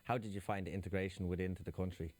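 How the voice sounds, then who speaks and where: A man's voice: sits low, fairly even intonation, rapid-fire, a little mellow. A man hosting an interview, asking loose, run-on questions. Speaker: interviewer host.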